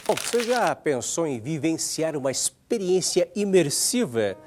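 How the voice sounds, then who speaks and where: A man's voice: fairly high pitch, sing-song, a little slow, noisy background. A Brazilian man presenting a scripted news introduction, addressing the audience directly.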